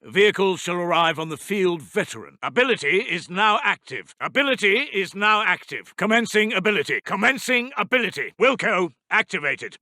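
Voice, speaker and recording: A man voicing a brisk, dutiful British Second World War intelligence officer for a video game, with clipped, formal, urgent military reporting. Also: high voice, bright, forceful tone, no room sound, studio quality, full character voice. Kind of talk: scripted military game voice lines.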